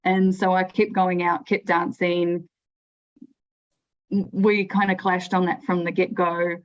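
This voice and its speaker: New zealand woman chatting on a podcast, lowish voice, kinda dull lo-fi audio, lots of "like". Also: level tone, measured pace. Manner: chatty, frank, frequent restarts and "like". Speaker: guest. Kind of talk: podcast interview storytelling. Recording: lo-fi remote audio.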